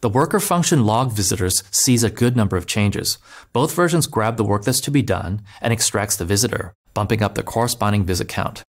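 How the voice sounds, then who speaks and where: A man giving a scripted, step-by-step narration of a software migration tutorial, speaking clearly and steadily as a voice-over. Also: on the low side, brisk. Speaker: developer advocate. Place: voice-over over screen recordings.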